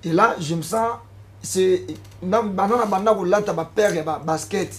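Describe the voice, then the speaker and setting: A man's voice: high voice, moderate pace. Hesitant Congolese musician, man. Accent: Congolese French. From Democratic Republic of the Congo. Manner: hesitant, with frequent fillers and repeated words. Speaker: musician interviewee. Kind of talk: casual interview answers mixing French and Lingala. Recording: light background noise.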